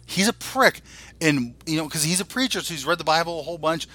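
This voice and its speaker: A man's voice: fairly high pitch, expressive, fast, sharp tone. A man in his forties co-hosting a podcast, ranting casually in a blunt, profane, irreverent way. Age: 40s. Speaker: podcast co-host.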